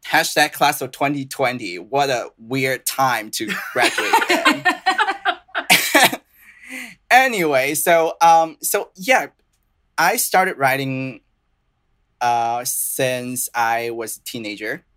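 A young man from southern China whose first languages are Cantonese and Mandarin, speaking English with a Chinese accent as a playwright guest in a casual podcast interview, earnest and halting with many restarts. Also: mid-range voice, animated, bright, forceful tone.

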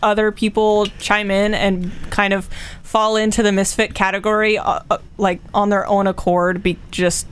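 A woman's voice: medium pitch, flat delivery, normal speed. A woman on a podcast panel chatting casually in a slangy, jokey way. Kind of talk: casual chat. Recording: noticeable background noise.

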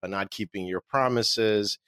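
A man in his forties, born in Russia with Russian as his first language, answering interview questions as an author in a relaxed, joking way. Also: lowish voice, unhurried, clear, resonant tone, dry recording. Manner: relaxed, joking, laughs at own quips. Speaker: author and marketing agency owner.

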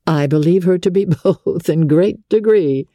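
A woman voicing a measured, confiding, quietly critical young gentleman in a novel reading. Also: fairly low pitch, dry recording, studio quality, voice pushed up, lightly acted. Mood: disdainful, measured.

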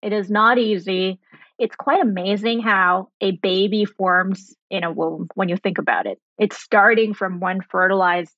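A woman's voice: medium pitch, steady tone, a little slow, no echo, dull audio. Articulate woman explaining, close dry sound. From grew up in Germany and Sri Lanka. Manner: articulate, explains with simple analogies. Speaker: guest, biotech founder and laser physicist. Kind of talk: conversational interview explanation and personal storytelling.

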